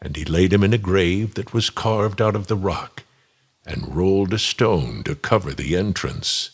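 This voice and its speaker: A man's voice: deep voice, expressive, measured pace, husky, exaggerated voice. A man giving a solemn dramatic narration of a scripture story.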